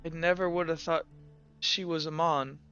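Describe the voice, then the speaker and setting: A man voicing an upset, soft-hearted teenage girl in tabletop roleplay, speaking haltingly and sincerely. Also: on the high side, little pitch movement, smooth, no room sound, highs cut off, soft background music. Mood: subdued, curious. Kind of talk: improvised tabletop roleplay dialogue.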